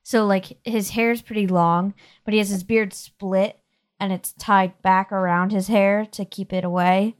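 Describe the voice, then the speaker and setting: A woman's voice: medium pitch, fairly even intonation, a little slow. A woman running a tabletop game as game master, narrating casually.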